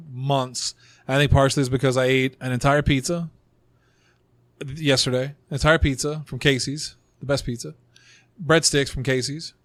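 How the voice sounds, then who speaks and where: A man's voice: mid-range voice, no echo. A man hosting a casual group podcast, rambling and joking while he is losing his voice. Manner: rambling, self-deprecating, joking. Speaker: podcast host. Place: small room around a table.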